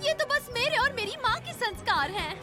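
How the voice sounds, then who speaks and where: Shrill-high, preachy cartoon do-gooder lady. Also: big pitch swings, moderate pace. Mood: preachy, cheerful. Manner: preachy, proclaiming. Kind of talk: Hindi-dubbed cartoon acting. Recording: loud music behind the voice.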